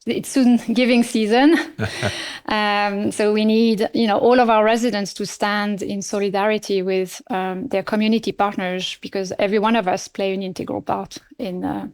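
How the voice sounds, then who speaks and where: A middle-aged French woman, a nonprofit CEO, answering interview questions with a French accent, speaking in a measured way with frequent fillers and restarts. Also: medium pitch, level tone, darkish tone, clear, resonant tone. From France. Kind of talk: podcast interview.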